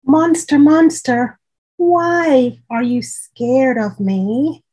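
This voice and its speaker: Female reader playing a scared small girl from a picture book, raised pitch, breathy, calling out into her room. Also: expressive, warm tone, full character voice. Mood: nervous, pleading. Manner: calling out in drawn-out, repeated questions. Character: frightened little girl calling out for a monster.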